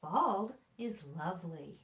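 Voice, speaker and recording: A woman's voice: on the low side, expressive, slow pace, fairly bright tone, reverberant. A woman who is an actor and children's storyteller, speaking in a theatrical way. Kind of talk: dramatic read-aloud and talk.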